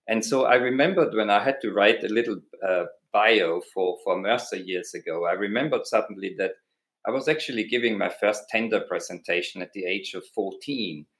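A man's voice: fairly low pitch, fairly bright tone. A middle-aged man with an Austrian accent, talking passionately and reflectively as a podcast guest, with frequent ums and uhs. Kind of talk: conversational interview.

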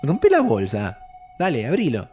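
A man's voice: medium pitch, wildly swooping pitch, unhurried, over music. An actor playing a teasing young Argentine time traveler who hides his sadness, in naturalistic audio fiction dialogue. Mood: warm, nostalgic. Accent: Argentine, Rioplatense. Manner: casual, teasing.

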